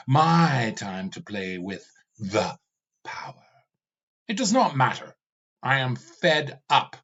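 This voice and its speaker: A man voicing a weary, raging, all-seeing male demon king who speaks slowly and vehemently, in a dull lo-fi recording. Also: mid-range voice, fairly bright tone, husky, exaggerated voice.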